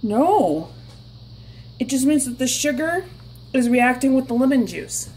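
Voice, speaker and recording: A woman's voice: sits high, sing-song, unhurried, rough recording. Woman counting cups in a kitchen. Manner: counts out loud with a put-on villain laugh. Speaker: home cook. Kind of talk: casual kitchen chat. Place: small home kitchen, indoors.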